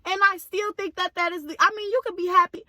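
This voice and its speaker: A woman vlogger, slightly hoarse, chatting casually and directly to her viewers. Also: extremely high pitch, steady tone, fast, pushed and bright, dry recording, studio quality.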